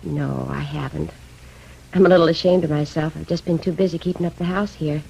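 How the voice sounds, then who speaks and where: An actress playing a polite, evasive middle-aged American housekeeper who speaks in a genteel, hesitant, self-excusing way in a 1950s radio drama. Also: female voice, sits low, fairly even intonation, fairly quick, gravelly, dark tone. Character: polite, evasive housekeeper, a paroled murderess hiding something. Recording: muffled, faintly noisy 1950s radio recording.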